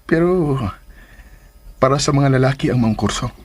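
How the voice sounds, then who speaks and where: An actor with a Cebuano Filipino accent playing an earnest, lovestruck suitor in a radio drama, coaxing and hesitant. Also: male voice, medium pitch, normal speed, natural voice. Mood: hesitant, casual. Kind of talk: Philippine radio drama acting. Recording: radio drama with clear background noise.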